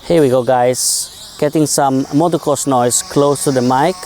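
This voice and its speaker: Male co-reviewer, very mellow medium-pitched voice, explanatory and informal, faint outdoor noise. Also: fairly even intonation, measured pace. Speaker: co-reviewer and film gear user. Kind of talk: casual technical explanation.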